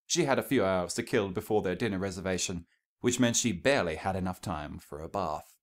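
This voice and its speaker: A male narrator reads a piece of fiction. His voice sits on the low side. The delivery is scripted storytelling, sentence by sentence. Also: a little fast.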